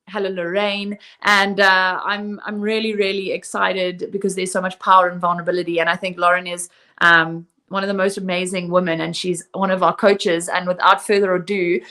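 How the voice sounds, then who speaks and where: Chatty bright-voiced woman host. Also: on the low side, fairly even intonation, moderate pace. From Zimbabwe. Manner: chatty, lots of "like" and "um" fillers. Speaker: host and interviewer of a fitness app live stream. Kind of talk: casual live-stream interview.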